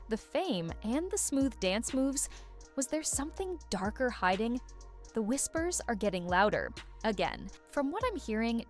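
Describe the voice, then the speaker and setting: A woman reading scripted gossip-video narration in a dramatic, suspense-building way. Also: mid-range voice.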